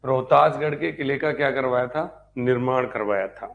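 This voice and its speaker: A man's voice: medium pitch, normal speed, gravelly, narrow, tinny audio. A man giving a live online history lecture as a teacher, dictating notes, repeating points and posing check questions to students. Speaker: history teacher for exam preparation.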